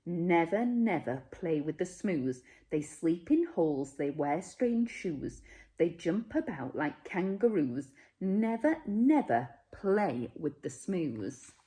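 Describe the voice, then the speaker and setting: A woman voicing a stern, angry old alien grandfather in a storybook, scolding with slow emphasis. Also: lowish voice, sing-song, dark tone. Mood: stern warning.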